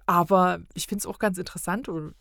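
A woman's voice: lowish voice, steady tone, fairly quick, fairly bright tone, no echo, studio quality. A middle-aged woman talking casually as a podcast guest, often hesitating and searching for words. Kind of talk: casual conversational discussion.

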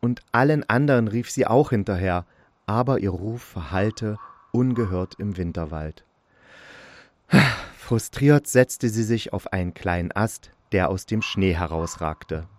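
A man's voice: fairly low pitch, a little slow, a little mellow. Male storyteller with some background noise. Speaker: narrator. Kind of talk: scripted children's story narration.

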